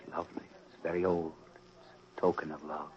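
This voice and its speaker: An actor playing a smooth, heartless suitor who coaxes and dismisses in 1940s radio drama style. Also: male voice, very deep voice, little pitch movement, a little fast. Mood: coaxing, tender. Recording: muffled, noisy old radio recording.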